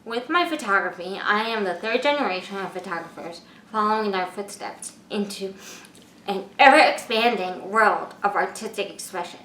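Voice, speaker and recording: A woman in her twenties, an artist, narrating a scripted introduction to her personal video in a measured, read-aloud way. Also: medium pitch, sharp tone, slight background noise. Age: 20s. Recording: echoey room.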